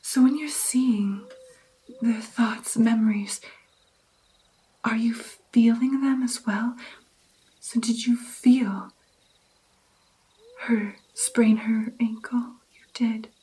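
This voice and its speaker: A woman doing whispered ASMR roleplay as a gentle, caring vampire mentor, with an intimate, breathy delivery. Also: a little high, steady tone, drawn-out words, long pauses, low-quality recording.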